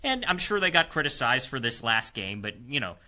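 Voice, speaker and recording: A man's voice: medium pitch, very animated, a little fast, sharp tone. A man with an American accent talking sports casually and emphatically as a panelist, repeating phrases to drive points home.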